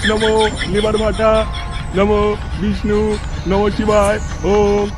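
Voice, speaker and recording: A man's voice: sits high, monotone, measured pace. A man voicing a comic wedding priest who chants garbled mantras in a mock-solemn sing-song. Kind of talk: mock-ritual cartoon chant. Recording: noisy track with street and vehicle ambience.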